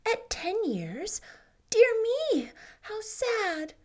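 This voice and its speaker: A woman gives voice to a dreamy, imaginative young heroine in a storybook, her voice lifted high and light. She talks with lively, romantic swoops and a gentle tease. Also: extremely slow, exaggerated voice.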